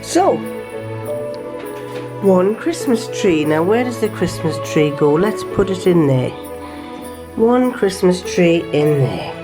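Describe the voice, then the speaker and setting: A woman's voice: lowish voice, sing-song, slow pace, pauses often, over music. An older woman, chatting casually in a vlog, her voice throaty from a lingering cough, rambling with fillers and restarts. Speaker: vlogger. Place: small home workshop shed.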